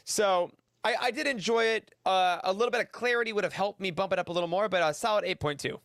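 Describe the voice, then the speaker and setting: A man's voice: high-pitched, fast talker, a bit bright, no room sound, clean studio sound. A man on a podcast panel giving a casual review in an informal, rambling way.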